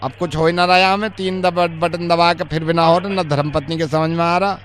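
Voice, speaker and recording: A man's voice: a little high, flat delivery, a little fast, a bit bright, faint music behind, voice pushed up, close to an everyday voice. A man playing a confused, rustic elderly man, rambling and complaining repetitively on a prank call. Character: rustic elderly man, confused, grumbling about his mobile.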